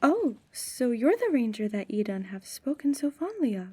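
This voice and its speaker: Woman voicing a Norse goddess, sly, sharp-tongued, husky edge, higher register, ASMR audio drama. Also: big pitch swings, moderate pace. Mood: friendly, curious.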